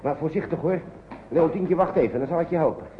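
A man's voice: fairly high pitch, brisk, natural voice. Actor as patient fatherly man, tinny old recording. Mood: reassuring. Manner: coaxing, hesitant, repeats his phrases. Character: patient, fatherly man shepherding tired young children. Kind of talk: naturalistic Dutch radio play acting. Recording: noisy, phone-like old radio recording.

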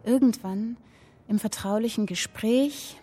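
Literary reader, radio drama, hushed, dark timbre, slow, faint music behind. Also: female voice, a little high, husky. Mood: hushed, intimate. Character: female reader of an intimate literary tale.

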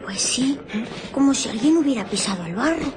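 A woman's voice: sits high, lively intonation, brisk, background noise. A woman playing a puzzled, wondering child in a radio play, full of questions. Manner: questioning, wide-eyed. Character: puzzled, wondering street child, companion of another child. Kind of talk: radio drama acting.

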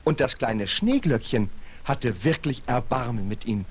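An actor playing a naive, earnest young snowman in a fairy-tale radio play, speaking with polite wonder and expressive phrasing. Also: mid-range voice, a little slow, breathy edge. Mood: courteous, storytelling. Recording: faint hiss of vinyl transfer.

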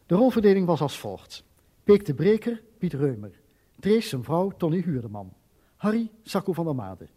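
Husky, mellow male radio announcer. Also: a little high, big pitch swings, normal speed. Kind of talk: scripted radio credits announcement.

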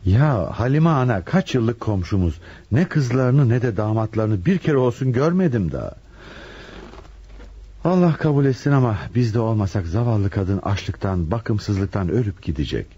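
Gruff husband in radio play, husky n mellow, opinionated, muffled audio. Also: male voice, lowish voice, animated, moderate pace. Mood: indignant. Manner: opinionated, disapproving. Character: gruff, indignant, decent neighbour husband. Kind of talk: radio drama acting. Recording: dull lo-fi radio recording.

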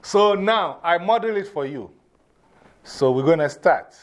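High-pitched Ghanaian man teaching a class. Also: animated, measured pace. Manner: directive, repeats instructions. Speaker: teacher of a drumming and English class. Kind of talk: informal classroom instruction.

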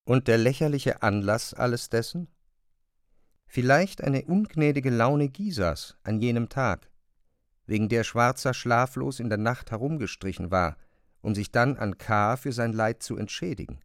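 A man reading literary narration for a radio drama, with measured, deliberate phrasing and pauses. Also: mid-range voice, no echo, studio quality.

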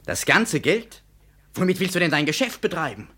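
A man's voice: fairly high pitch, big pitch swings, normal speed, bright, forceful tone, exaggerated voice. An actor playing a brash, callous and pushy man in a radio play, blunt and insistent. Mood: indignant. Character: brash, callous male companion, pushy and greedy. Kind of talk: mid-20th-century German radio play acting.

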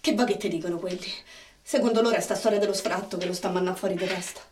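Actress as stubborn, protective Roman carer, fast and colloquial, radio drama with a roomy, echoing sound. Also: female voice, fairly low pitch, a little mellow, lightly acted. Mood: worried, apologetic. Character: worried, protective, stubbornly defiant Roman woman, a caregiver. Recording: roomy, distant, noisy microphone.

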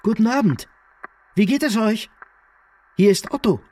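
An actor playing a proud, dry-witted middle-class merchant on the run, formal and troubled, in a radio drama. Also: male voice, high voice, lively intonation, unhurried, dark tone. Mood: tense, pleading. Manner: formal, ironic, wounded dignity. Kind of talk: German radio play acting.

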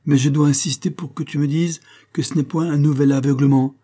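A man voicing a gentle, anxious old banker who dotes on his daughter, in an audiobook story. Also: medium pitch, moderate pace, breathy edge, pitched above the performer's own voice, natural voice. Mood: firm, pained. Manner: tender, earnest, pleading.

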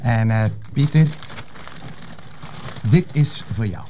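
An actor playing a courteous, gentle and hesitant Flemish police colleague in naturalistic television drama. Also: male voice, mid-range voice, slow pace, slight background noise. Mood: warm, generous.

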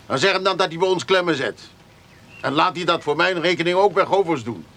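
An actor playing a gruff older farmer in a radio drama, with a creaky, forceful voice and a blunt, earthy manner. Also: male voice, a little high, a little fast, slight background noise. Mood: grumbling.

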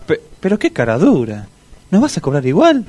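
Actor as rambling, earnest Argentine buddy. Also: male voice, a little high, huge pitch swings, moderate pace, a loud crowd. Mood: indignant. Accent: Argentine, Rioplatense. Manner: colloquial, rambling. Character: La Torca, simple, earnest, complaining Argentine buddy. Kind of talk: comic radio play acting.

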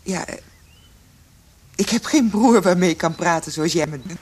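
A woman acts a nervous, lonely visitor in a radio drama. Her voice is on the low side and hesitant, stumbling over words, with faint ambient noise behind her. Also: moderate pace, darkish tone, close to an everyday voice. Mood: wistful. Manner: hesitant, stammering. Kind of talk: Dutch radio drama acting.

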